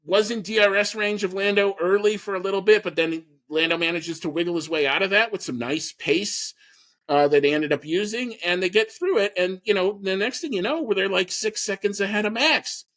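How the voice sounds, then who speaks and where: A man's voice: high voice, brisk, fairly bright tone. A man hosting a podcast, giving a casual, opinionated and emphatic recap of a sporting event. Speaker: podcast host.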